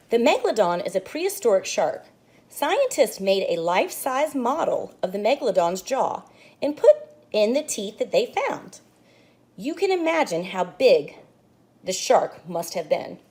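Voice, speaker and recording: Expressive woman reading aloud to kids. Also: medium pitch. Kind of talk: children's read-aloud lesson.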